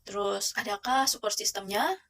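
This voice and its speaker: A woman's voice: medium pitch, moderate pace, a bit bright. A woman acting as interviewer, asking a string of loosely phrased questions.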